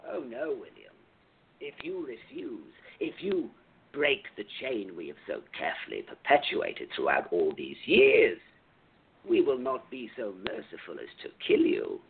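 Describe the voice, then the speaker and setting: A man playing the grandiose, menacing president of a diabolical club in a radio play, with drawn-out, emphatic delivery. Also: medium pitch, wildly swooping pitch, vocal fry, breathy edge, phone-quality sound, full character voice.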